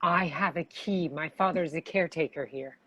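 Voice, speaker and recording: A woman's voice: sits low, moderate pace, a bit bright, no room sound. A woman playing a bossy, decisive demon hunter in a comedy table read, with a commanding, dry manner. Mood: brisk, commanding.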